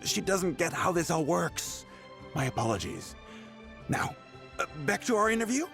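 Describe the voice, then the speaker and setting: A shady tabloid boss from a video game, who blusters and stammers in self-defense. His voice is high and sharp, and it leaps wildly in pitch as he sputters with indignation. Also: big pitch swings, normal speed, vocal fry, soft background music, exaggerated voice. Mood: awkward, flustered.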